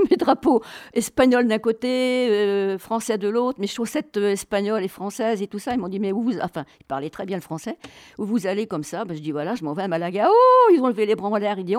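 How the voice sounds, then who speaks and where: A woman telling travel stories as an interview guest, chatty, colloquial and expressive, acting out bits of dialogue. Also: medium pitch, dry recording, clean studio sound. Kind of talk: animated travel storytelling.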